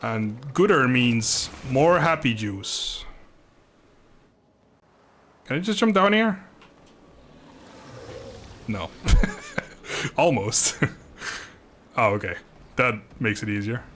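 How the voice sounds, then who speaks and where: A man's voice: medium pitch, big pitch swings, extremely slow, long pauses, fairly bright tone, slight background noise. A man giving casual, rambling, self-deprecating commentary as he livestreams a video game. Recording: music playing behind the voice.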